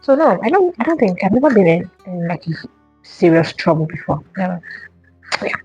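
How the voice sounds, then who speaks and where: A woman's voice: fairly low pitch, very animated, brisk, darkish tone, husky, no echo, lo-fi sound, soft background music. A young woman vlogger chatting casually to camera, answering questions and repeating herself with lots of fillers.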